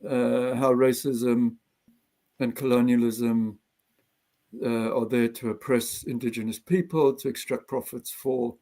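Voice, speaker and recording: Gravelly older South African man. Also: mid-range voice, dark tone. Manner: measured, reflective, frequent ums and restarts. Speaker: guest. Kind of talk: interview, personal history.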